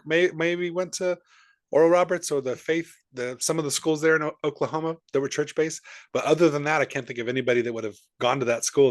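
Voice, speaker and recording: A man's voice: medium pitch, dry recording, clean studio sound. A male podcast host interviewing a guest in a friendly, upbeat way, with frequent restarts and fillers. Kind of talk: casual interview chat.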